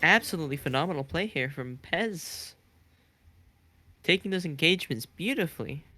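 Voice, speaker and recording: A woman from Southeast Asia with a deep voice, calling a game as co-caster in a dry, matter-of-fact way. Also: lively intonation, normal speed, pushed and bright. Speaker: guest co-caster. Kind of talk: live game commentary. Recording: commentary over game music.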